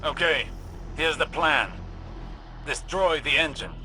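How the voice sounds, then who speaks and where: A man's voice: fairly high pitch, slow, music in the background. A man voicing a stubborn, driven warlock leader in a sci-fi video game, commanding, clipped and formal. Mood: determined.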